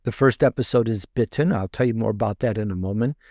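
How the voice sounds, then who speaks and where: A man's voice: on the low side, fast talker, darkish tone, no room sound, clean studio sound. A man talking conversationally as an interview guest, repeating and rephrasing himself as he explains. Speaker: podcast guest, author and podcaster.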